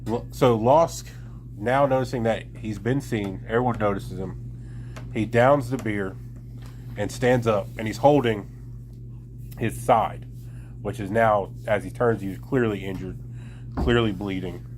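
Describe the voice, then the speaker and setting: A man with a fairly low voice runs a tabletop roleplaying game. He narrates scenes and rules in a deliberate, improvised, stop-and-start manner, recorded with slight background room noise. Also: darkish tone. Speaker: game master.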